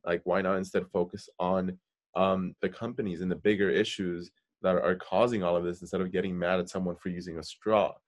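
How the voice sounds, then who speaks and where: A man with a very deep voice hosts a relaxed podcast conversation. He talks casually and hesitantly, leaning on fillers while he shapes his questions. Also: fairly quick. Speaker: podcast host.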